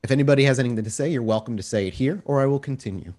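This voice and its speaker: A man acting as storyteller, improvising descriptive narration for a tabletop roleplaying game in a halting way. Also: medium pitch, a little mellow.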